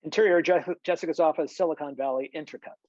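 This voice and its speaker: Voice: medium pitch, fast talker, darkish tone, no room sound. A narrator reading out screenplay stage directions in scripted narration over a video call. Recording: dull, lo-fi video call audio.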